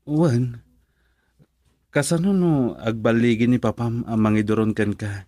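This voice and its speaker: A man's voice: mid-range voice, a little slow, dark tone, dry recording, lightly acted. A male actor with a Filipino accent playing an earnest young man in love, hesitant and pleading, in a romantic radio drama. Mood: pleading, worried.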